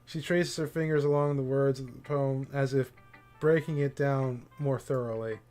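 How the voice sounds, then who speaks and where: A man reads a visual novel's narration aloud at a casual pace, breaking in with amused reactions. His voice sits at a middle pitch. Faint game music plays in the background. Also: steady tone, moderate pace, a little mellow. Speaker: streamer.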